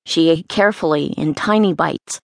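A woman's voice: low-pitched, normal speed, gravelly, no room sound, clean studio sound. A woman reading a story aloud as an audiobook narrator, in scripted narration.

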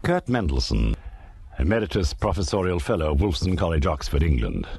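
A man narrating a dramatised documentary in a grave, theatrical and deliberate manner. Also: low voice, big pitch swings, vocal fry, darkish tone, slightly rough, no room sound.

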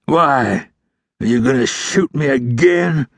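A man's voice: high voice, creaky, husky, dry recording, clean studio sound, voice pushed up, full character voice. A man voicing a bitter, defiant, wounded Old West outlaw in a western audiobook, his speech strained, slurred and sneering. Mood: contemptuous, weakening. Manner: drawn-out, sneering, contemptuous. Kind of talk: western audiobook character acting.

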